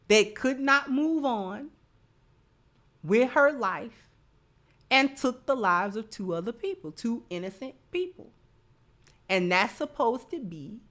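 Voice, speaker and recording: An American woman delivers opinionated commentary at an unhurried, slow pace. She is emphatic, often restating a phrase to drive it home, in the manner of a host talking live to followers. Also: mid-range voice, fairly bright tone. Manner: emphatic, repeats phrases for stress.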